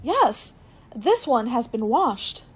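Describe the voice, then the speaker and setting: A woman's voice: fairly high pitch, sing-song, slow pace, breathy edge, exaggerated voice. A woman playing an obedient young assistant to a detective in a radio mystery. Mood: careful. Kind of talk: radio mystery drama acting.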